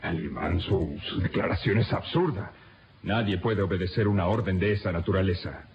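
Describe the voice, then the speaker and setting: A man's voice: sits low, crowd noise, lightly acted. An actor playing a dutiful, incredulous police captain in a radio serial, formal and insistent as he questions a prisoner. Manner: insistent, formal, halting with disbelief. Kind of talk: old radio serial acting. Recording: dull, noisy old radio recording.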